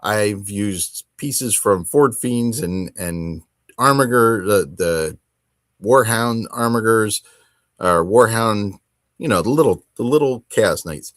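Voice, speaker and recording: A man with a fairly low voice hosts a hobby show on his own. He talks casually and conversationally, thinking aloud and wandering a bit from thought to thought. The sound is dry, with very little room to it. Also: lively intonation, slow, fairly bright tone, no echo. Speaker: host of a tabletop wargaming hobby show. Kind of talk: casual solo hobby commentary.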